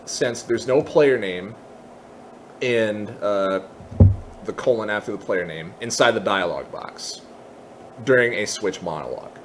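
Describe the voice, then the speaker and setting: A man with a mid-pitched voice talks through a software tutorial in a casual, explanatory way. He works it out aloud as he goes, unscripted. There is faint noise behind his voice. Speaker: tutorial presenter.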